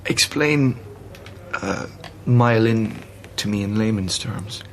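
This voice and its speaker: An actor playing a stunned, dryly self-mocking patient in a radio drama. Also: male voice, lowish voice, slow pace, a little hiss or hum.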